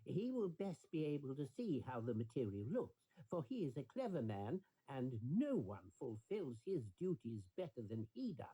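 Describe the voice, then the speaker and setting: Man acting a vain emperor, storybook, pompous, calculating, swooping intonation, husky. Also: medium pitch, sing-song, normal speed, few pauses, warm tone, poor audio. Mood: confident. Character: vain, calculating emperor pondering aloud to himself.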